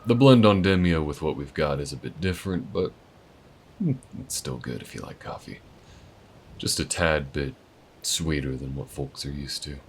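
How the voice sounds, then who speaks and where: A man's voice: rumbling low, moderate pace, a little hiss or hum. A man voicing a friendly, easygoing male alien who shows the listener around town in an audio roleplay, talking in a folksy, laid-back drawl.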